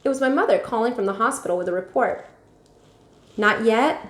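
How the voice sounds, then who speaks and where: A woman's voice: a little high, very fast. Woman reading a storybook, echoey room. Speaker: teacher reading aloud. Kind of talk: storybook read-aloud narration.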